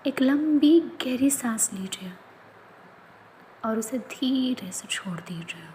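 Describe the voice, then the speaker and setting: A woman leading a guided yoga nidra relaxation, speaking in soft, often whispered instructions. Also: fairly high pitch, animated, slow pace, slight background noise, poor audio. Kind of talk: guided meditation.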